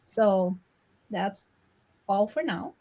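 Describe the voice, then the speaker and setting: A woman's voice: medium pitch, animated, very slow, dark tone. A woman from the Philippines giving a brief, matter-of-fact introduction on a live chat. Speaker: guest business owner. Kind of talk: casual chat.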